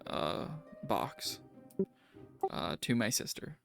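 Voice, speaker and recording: A game master runs a tabletop session in casual speech. The pitch moves in a lively way and often drops into creaky fry, with light music behind the voice. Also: slow pace. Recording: music playing under the voice.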